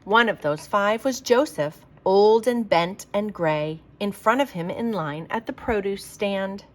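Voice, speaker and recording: A woman reading a children's picture book aloud like a teacher, with expressive, emphatic delivery for young listeners. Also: medium pitch, measured pace.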